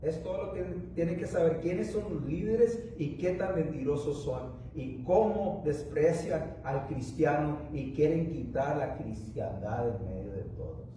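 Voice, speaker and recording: A man preaches a sermon in a large, echoing room, with his voice picked up from a distance. His tone is warm and dark, and he talks haltingly, restarting and repeating himself often. He is a native English speaker. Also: on the high side, slight background noise. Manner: rambling, halting with many restarts and fillers. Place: church.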